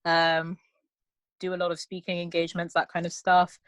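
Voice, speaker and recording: Bright-voiced young London woman. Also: lowish voice, completely flat delivery, no room sound. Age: young adult. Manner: quick, playful, self-assured. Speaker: podcast co-host. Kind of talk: casual chat on a video call. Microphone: video call.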